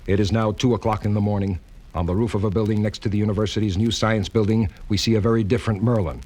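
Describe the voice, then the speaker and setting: A man giving brisk, matter-of-fact narration for a 1970s radio play. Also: lowish voice, steady tone, a little mellow, close to an everyday voice.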